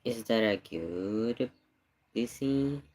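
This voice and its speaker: A live streamer chatting casually in halting, murmured asides. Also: mid-range voice, expressive, a little mellow. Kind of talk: casual livestream chat.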